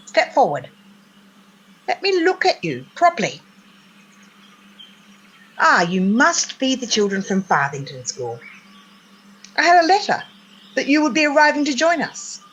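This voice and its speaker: A woman's voice: fairly high pitch, a bit bright, slight background noise, rough recording. A woman playing a stern, haughty, old-fashioned girls' school mistress in a radio play, scolding in a clipped, imperious manner. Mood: imperious, suspicious. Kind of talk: theatrical radio play acting.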